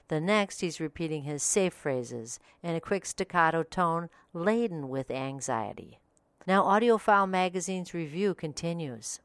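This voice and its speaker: A female podcast host reads a scripted audiobook review with polished, even announcer delivery. Her voice is on the lower side for a woman. The sound is close and dry, with no room around it. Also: dry recording.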